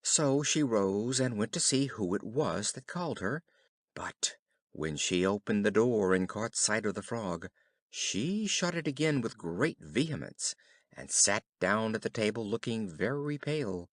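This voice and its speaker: A man narrating a children's fairy tale in an expressive, careful storytelling style. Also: fairly low pitch, measured pace, no echo, clean studio sound. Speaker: audiobook narrator.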